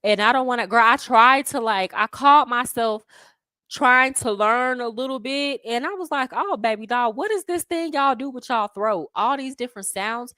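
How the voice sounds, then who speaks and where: A young American woman hosting a casual livestream, chatty and slangy, answering viewers' questions with animated asides. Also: fairly high pitch, no room sound. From United States. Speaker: livestream host answering chat. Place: home abroad.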